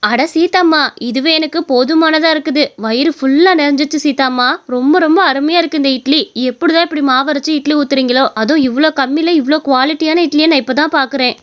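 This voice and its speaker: A woman's voice: sits high, quick pace, few pauses, close to an everyday voice. A woman voicing a chatty, blunt, familiar local woman customer in a story. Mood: cheerful.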